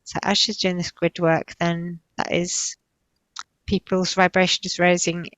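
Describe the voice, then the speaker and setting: A woman giving an unscripted, trance-like spiritual channeling, describing visions in halting, repetitive, searching phrases. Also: lowish voice, flat delivery, a bit bright, dry recording. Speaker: spiritual healer channeling in a session.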